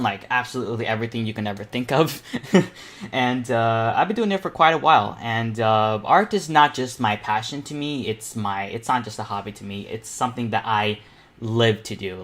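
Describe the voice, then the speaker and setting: A teenage male streamer chatting casually as host of an online painting panel, nervous and excitable, in a rambling way. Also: on the low side. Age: teens. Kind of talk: casual livestream chat. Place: indoors at a computer, live stream.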